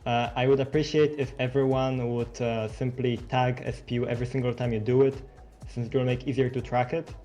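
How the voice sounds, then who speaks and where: A man's voice: medium pitch, level tone, brisk, dark tone, soft background music. A man making an improvised in-character police announcement to fellow players in an online roleplay game.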